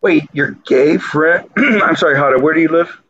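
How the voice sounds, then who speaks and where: A man's voice: fairly high pitch, normal speed, fairly bright tone, lo-fi sound. A man joining the conversation over a video call, asking probing questions. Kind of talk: casual conversation.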